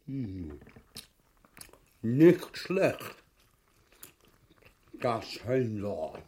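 A man's voice: lowish voice, big pitch swings, extremely slow, warm tone, exaggerated voice. A man playing a gluttonous, crude, cowardly usurper husband in a grotesque comedy, blustering and swearing with an Austrian accent. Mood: grumbling, greedy. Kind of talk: grotesque stage comedy acting.

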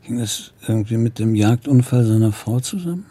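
An actor playing a probing police commissario at home in a radio drama, speaking in a husky, creaky, low-key voice. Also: male voice, lively intonation, fairly quick, mellow. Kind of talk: naturalistic radio drama dialogue.

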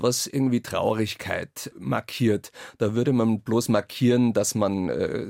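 A man's voice: lowish voice, vocal fry, a little mellow, no echo, studio quality. A man with a Bavarian accent giving conversational interview answers as a radio play author.

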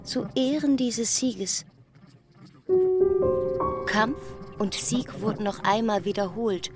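A woman narrating a literary radio drama deliberately, pausing between short phrases. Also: medium pitch. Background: prominent music.

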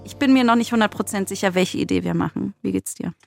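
A woman's voice: mid-range voice, quick pace, vocal fry. Candid woman chatting over faint music. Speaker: podcast co-host and writer. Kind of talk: casual discussion. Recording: music behind the talk.

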